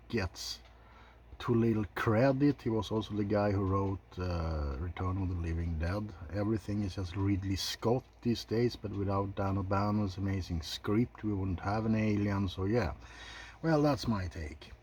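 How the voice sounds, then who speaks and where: Guy doing chill asmr commentary, low voice, really slow, blunt opinions. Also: a little mellow, a little hiss or hum. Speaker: video host. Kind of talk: casual ASMR movie ranking commentary.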